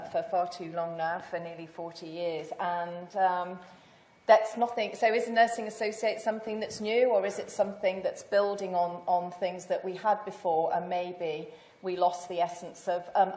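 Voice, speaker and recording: An older British woman, a senior nurse, speaks on a panel in a loud, fairly low voice. She is measured, pausing and restarting as she thinks aloud. Her words ring out in an echoey lecture hall. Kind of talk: panel answer.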